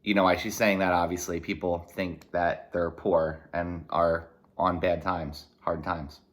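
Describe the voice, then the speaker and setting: A man reading a children's novel aloud in a folksy, expressive way, with short explanatory asides. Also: deep voice, a little slow. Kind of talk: read-aloud narration with brief explanatory asides.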